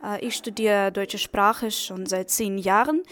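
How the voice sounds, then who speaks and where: A woman's voice: mid-range voice, fairly even intonation, measured pace, a bit bright. A young woman, a linguistics student telling her story with a few fillers. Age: young adult. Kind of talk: self-introduction.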